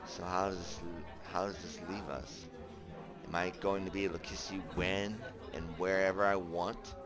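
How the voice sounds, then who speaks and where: A man's voice: low-pitched, fairly even intonation, moderate pace, close to an everyday voice. A man playing an earnest, teasing young romantic lead in a visual novel, with music behind him. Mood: earnest, hesitant. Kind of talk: visual novel voice acting with narration. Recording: background music under the voice.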